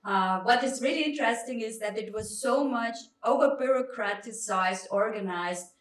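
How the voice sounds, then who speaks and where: A woman's voice: a little high, normal speed. Woman historian giving a talk, stop-start and emphatic, roomy echo, mic kinda far off. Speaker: academic lecturer, historian. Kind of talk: public academic lecture, semi-spontaneous. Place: indoor lecture room. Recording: echoey room, distant microphone.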